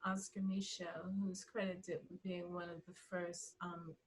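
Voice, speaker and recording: A woman guest in a casual interview, talking enthusiastically in a halting way and repeating words for emphasis. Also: on the low side, level tone, breathy edge. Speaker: interview guest, writer and director.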